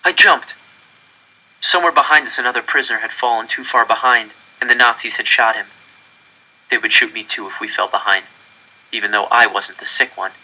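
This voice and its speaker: A man's voice: mid-range voice, fairly quick, pushed and bright, low-quality recording. A man reading a first-person story aloud dramatically, with an earnest, intense and emphatic delivery. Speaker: audiobook reader.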